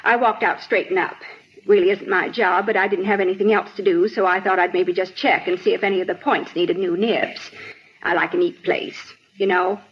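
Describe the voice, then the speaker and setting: A woman's voice: medium pitch, fairly even intonation, normal speed, natural voice. A woman playing a fussy, chatty older bank clerk who rambles indignantly, in a 1950s radio drama. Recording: muffled 1950s radio recording, faint hiss.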